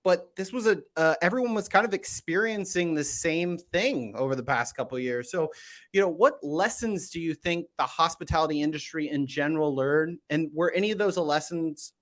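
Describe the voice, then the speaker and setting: A man's voice: a little high, moderate pace. A man hosting a podcast, upbeat and chatty, introducing a guest and asking questions with plenty of uhs and restarts. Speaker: podcast host.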